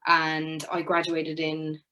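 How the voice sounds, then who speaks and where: A woman's voice: low voice, completely flat delivery, slow, sharp tone. A young woman with an Irish accent, an actress speaking as a guest, talking with frequent fillers and restarts. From Ireland. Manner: hesitant, many fillers and restarts. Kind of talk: casual interview talk.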